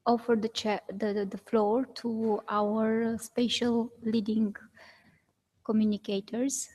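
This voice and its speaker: A woman moderating a conference panel, opening the session in formal, halting phrases. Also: mid-range voice, darkish tone, rough recording.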